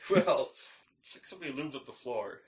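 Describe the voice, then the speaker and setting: A man co-hosting a podcast, bantering casually in slangy, goofy and excitable storytelling. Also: mid-range voice, moderate pace, slightly rough. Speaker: podcast co-host.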